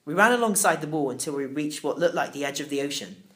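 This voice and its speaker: A man narrating a children's novel in the first person, expressive and theatrical. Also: a little high, quick pace. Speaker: audiobook narrator.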